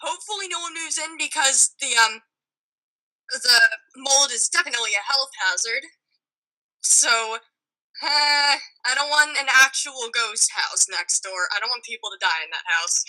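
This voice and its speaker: High, bright young woman telling a story. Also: unhurried, rough recording. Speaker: podcast guest. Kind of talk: casual personal storytelling.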